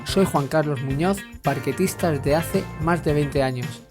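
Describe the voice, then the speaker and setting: A man's voice: on the high side, measured pace. Middle-aged man introducing a podcast over music. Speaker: podcast host. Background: music bed.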